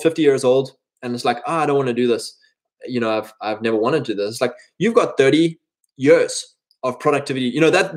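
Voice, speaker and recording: A thirty-year-old man giving an informal, rambling motivational monologue, slipping into imagined quotes as he advises other men. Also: medium pitch. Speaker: speaker giving advice to men.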